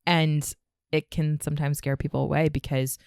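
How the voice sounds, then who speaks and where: A woman co-hosting a couples podcast, explaining her coaching work in a thoughtful, warm way. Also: low voice, flat delivery, moderate pace, creaky, clear, resonant tone, no room sound, clean studio sound. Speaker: podcast co-host, relationship coach. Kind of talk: casual couples podcast chat.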